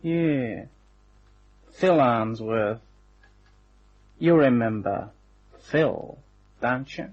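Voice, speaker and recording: An actor playing a menacing, smug working-class hired gunman in a radio crime drama, with slangy, taunting, unhurried talk. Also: mid-range voice, lively intonation, a little mellow. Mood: taunting, gloating. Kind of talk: old-time radio crime drama acting. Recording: dull, band-limited old radio recording.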